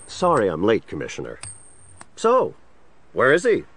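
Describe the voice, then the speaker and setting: An actor playing an eager, affable district attorney in a children's superhero audio drama. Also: male voice, fairly low pitch, huge pitch swings, moderate pace, fairly bright tone, husky, exaggerated voice. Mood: nervous, chatty.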